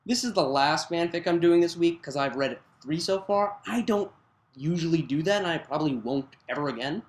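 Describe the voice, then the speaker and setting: A narrator reading fan fiction aloud in an animated storytelling style. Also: mid-range voice, normal speed.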